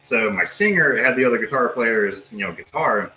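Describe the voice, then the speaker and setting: A man's voice: mid-range voice, animated, moderate pace, pushed and bright, a little hiss or hum, low-quality recording. A man with a Pennsylvania accent in a metal band, talking loosely and rambling in an interview. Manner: loose, rambling with fillers like "you know". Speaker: band member. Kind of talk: casual interview chat.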